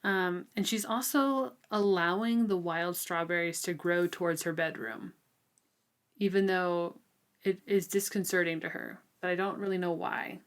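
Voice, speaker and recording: A woman co-hosting a casual book discussion, talking in an informal, thoughtful way with halting phrases. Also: lowish voice, steady tone. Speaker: book podcast co-host.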